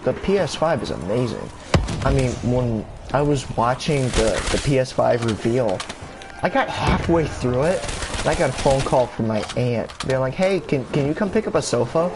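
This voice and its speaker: A young man casually rambling in a gaming vlog, full of fillers, restarts and casual swearing, his voice jumping higher when he gets excited. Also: moderate pace. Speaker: gaming YouTuber. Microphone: console camera mic. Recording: steady background noise.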